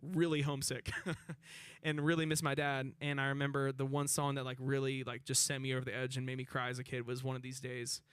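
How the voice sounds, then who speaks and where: A man's voice: mid-range voice, completely flat delivery, a little fast, fairly bright tone, clear, resonant tone, no room sound. A man from Nashville, a singer-songwriter guest chatting loosely between songs in a radio station studio, laughing and using lots of fillers. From Nashville, Tennessee. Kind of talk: casual chat between songs. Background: acoustic guitar.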